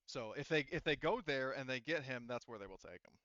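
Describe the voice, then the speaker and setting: A man's voice: mid-range voice, lively intonation, a little fast, a bit bright, no room sound, lo-fi sound. A man working as game master, explaining rules and narrating a tabletop roleplaying game in a rambling, explanatory way.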